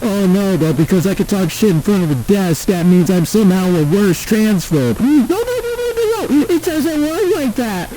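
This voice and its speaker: Man acting a high-pitched, whiny jealous villain. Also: lively intonation, moderate pace, few pauses, mellow, slight background noise, rough recording. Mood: whiny indignation. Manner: whiny, indignant, sweary. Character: petulant, jealous villain bragging and complaining. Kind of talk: comedic rant monologue.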